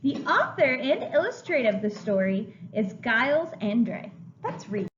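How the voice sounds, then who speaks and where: Animated woman reading to preschoolers. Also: a little high, unhurried. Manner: lively, expressive storytelling for small children. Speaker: preschool teacher. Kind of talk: reading a picture book aloud. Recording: dull lo-fi sound with faint room noise.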